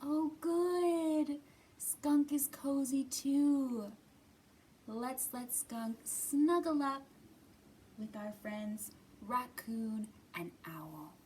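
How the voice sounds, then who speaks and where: A woman's voice: high-pitched, expressive, drawn-out words, warm tone, slight background noise. A woman hosting a children's storytime, speaking in simple, encouraging language meant for young children, as she reads and leads puppet play. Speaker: children's library storytime host. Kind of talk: children's storytime reading, puppets and sing-along. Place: indoors in a library.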